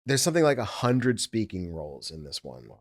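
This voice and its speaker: A man's voice: lowish voice, sing-song, brisk. A man, an audiobook producer, talking casually and explaining his work step by step.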